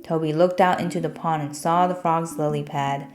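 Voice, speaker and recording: A woman with a fairly low voice narrates a children's story. It is a scripted read-aloud, told as a storybook narrator would, with music playing underneath. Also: steady tone, normal speed.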